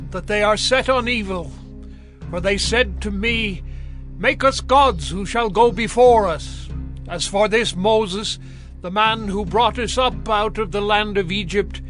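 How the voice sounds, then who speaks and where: Casting: nervous biblical excuse-maker, high voice, slow placating delivery, music beneath. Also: male voice, fairly bright tone. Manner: placating, defensive. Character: Aaron, anxious man making excuses to his brother. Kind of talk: dramatized scripture audio drama. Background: cinematic music.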